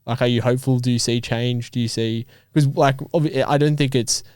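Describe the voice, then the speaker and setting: An Australian man in his twenties in casual podcast conversation, informal and a little halting as he thinks aloud. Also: mid-range voice, fairly even intonation, darkish tone, clean tone, dry recording, clean studio sound. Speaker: podcast host and elite athlete.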